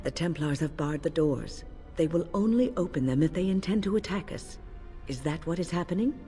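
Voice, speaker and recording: A woman playing a grave, protective senior mage in a video game, speaking in a measured, solemn way. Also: low voice, animated, mellow. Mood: guarded, urgent. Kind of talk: video game dialogue acting. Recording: long reverb, music underneath. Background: game music.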